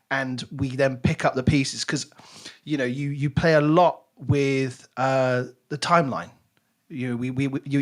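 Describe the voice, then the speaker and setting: A man's voice: mid-range voice, fairly bright tone. A man hosting a podcast interview in a conversational style, with chatty, wordy and rambling questions. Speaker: podcast host.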